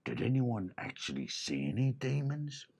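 A man voicing a bossy, blustering male bandit leader who barks orders and drops to a gruff hush when scheming. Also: medium pitch, big pitch swings, normal speed, pitched above the performer's own voice, exaggerated voice.

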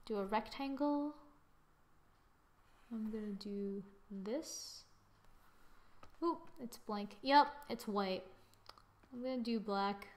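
A young woman giving a casual software tutorial, thinking aloud informally with muttered asides as she works. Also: a little high. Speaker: tutorial presenter. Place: indoors, voice-over to a screen recording.

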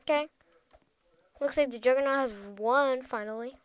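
A woman giving casual, slangy running commentary while playing a video game, reacting out loud as things happen. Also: high voice, moderate pace, clear, resonant tone, no room sound, dull audio. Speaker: gameplay streamer.

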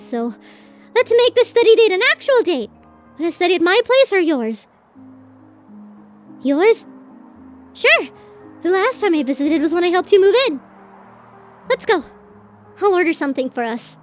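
A woman's voice: sits high, animated, unhurried, pauses often, faint music behind. A woman voicing a bashful, tender tsundere college girlfriend in a romantic ASMR roleplay, flustered and hesitant as she swings between protest and affection. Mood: playful, bashful.

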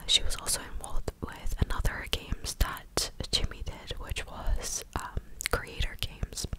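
A woman in her twenties whispering close to the mic in a breathy, casual, chatty ASMR style. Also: measured pace. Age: 20s. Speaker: ASMR video host. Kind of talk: whispered ASMR news recap. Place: small living room indoors. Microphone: large desk condenser mic, very close.

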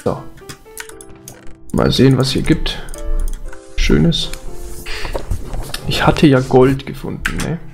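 A man's voice: medium pitch, expressive, slow, gravelly, pauses often, darkish tone. A man with a cold chatting casually while playing a video game, joking in a mock-formal way. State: has a cold. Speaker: Let's Play co-host. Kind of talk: casual gaming chat. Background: faint game music and keyboard typing.

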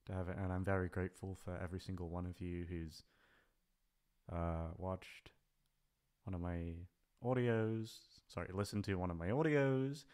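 A man talking casually without a script, rambling and hesitant in a self-deprecating way, thinking aloud. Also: low-pitched. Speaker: audio channel creator, voice actor. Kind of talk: unscripted casual farewell vlog.

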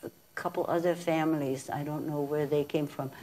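An older woman, a guest in a small television studio, recalls her wartime flight as an animated storyteller who laughs often, speaking into a lapel mic. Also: sits low, brisk, slight background noise. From Czechoslovakia. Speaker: interview guest. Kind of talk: personal war memories.

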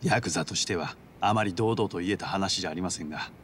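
Low-voiced, courteous yakuza underboss. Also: male voice, a bit bright, slight background noise. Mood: composed, deferential. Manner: formal, courteous, measured business-like speech. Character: polite, calculating male yakuza underboss, coolly composed. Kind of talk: dramatic video game voice acting.

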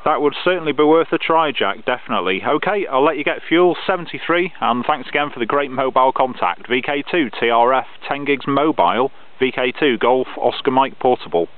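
A man's voice: mid-range voice, expressive, a little fast, few pauses. A male amateur radio operator chatting over a contact outdoors, reading out call signs in a procedural way. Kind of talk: ham radio contact chat. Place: outdoors on open grassy hilltop. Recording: narrow phone-like sound with radio noise.

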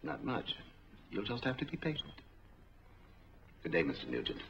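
An actor playing a middle-aged, skeptical bank officer, formal and probing, in a 1940s film drama. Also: male voice, medium pitch, huge pitch swings, moderate pace, gravelly, fairly bright tone, full character voice. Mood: formal, dry. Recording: noisy, dull 1940s film soundtrack.

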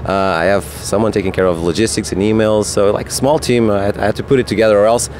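Portuguese-accented man, low voice, halting. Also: fairly even intonation, darkish tone, a little hiss or hum. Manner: hesitant, lots of fillers and restarts. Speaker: guest artist. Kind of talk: casual interview chat. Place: hotel rooftop terrace outdoors. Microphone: handheld mic.

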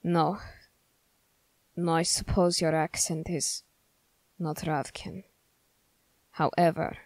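A woman playing a stern, suspicious young male soldier in an ASMR roleplay, questioning the listener in a measured, formal way. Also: on the low side, darkish tone, dry recording. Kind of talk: ASMR character roleplay, slow scripted monologue.

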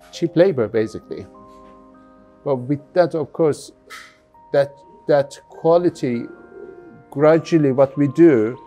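A middle-aged man from London giving thoughtful interview answers as a business founder, haltingly and often repeating words. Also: a little high, mellow. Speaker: jeans factory founder being interviewed.

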